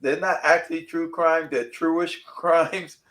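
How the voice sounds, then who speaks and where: A man's voice: a little high, fairly even intonation, slow pace, a bit bright. A man, an author guest rambling through casual stories and repeating himself. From New York City. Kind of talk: casual interview chat.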